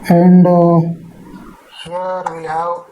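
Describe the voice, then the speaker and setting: A man teaching in an explanatory lecture style, with a halting, repetitive delivery. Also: high voice, monotone, narrow, tinny audio, slight background noise.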